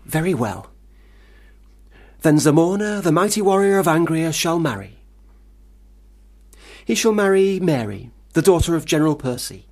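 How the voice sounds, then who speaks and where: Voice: medium pitch, sing-song, moderate pace, pauses often, darkish tone. An actor in a radio play playing a bossy, imaginative young boy who speaks in a pompous, pedantic, declaiming way. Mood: grand, declaiming. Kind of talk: radio drama acting.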